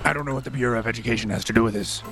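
A man voicing a raspy, blunt male whaling ship captain who talks plainly with old-fashioned phrasing. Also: mid-range voice, very fast, over music, natural voice.

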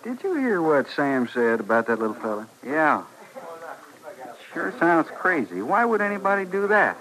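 An old radio western townsman, genial, curious and chummy. His voice is bright and forceful with a lively rise and fall, folksy in manner. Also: a little high, moderate pace, poor audio. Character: genial, curious frontier townsman drinking in a saloon.

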